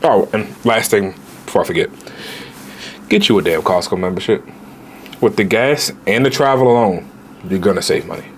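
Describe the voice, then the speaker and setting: Late-20s guy vlogging travel budget tips, kinda low voice, lively and chatty, a little room noise behind. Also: normal speed, long pauses. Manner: animated, talks straight to viewers with slang. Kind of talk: casual budget-travel advice vlog.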